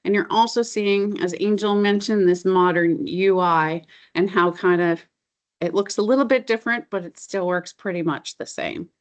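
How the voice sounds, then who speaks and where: A middle-aged woman presenting a software demo as a consultant, explaining features in an informal, enthusiastic, conversational way. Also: mid-range voice, normal speed.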